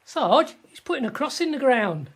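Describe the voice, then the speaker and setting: A woman's voice: high voice, sing-song, slightly rough, exaggerated voice. A woman playing an earnest, frightened young male First World War soldier in a radio drama. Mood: agitated. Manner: halting, earnest confiding. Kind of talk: radio drama monologue and dialogue. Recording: noisy ambience behind the voice.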